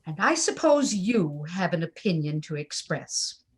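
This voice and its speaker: A woman's voice: fairly low pitch, very animated, unhurried, slightly rough, exaggerated voice. A woman playing a stubborn, defensive mother of a grown son in a live play reading over a video call, indignant and exclamatory.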